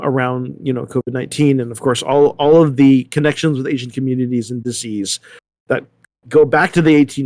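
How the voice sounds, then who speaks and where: A man's voice: medium pitch, no room sound. A man speaking as a panelist in a discussion, thinking aloud with repeated words and checking in with "right?". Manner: halting, repeats words, seeks agreement with "right?".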